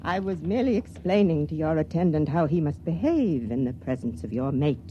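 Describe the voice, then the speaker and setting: An actress playing an elderly, secretive former nursery matron in a 1950s radio drama, speaking in a hushed, conspiratorial way. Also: female voice, sits low, lively intonation, a little fast, a little hiss or hum. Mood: formal. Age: older. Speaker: character in a 1950s radio play. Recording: muffled vintage radio broadcast.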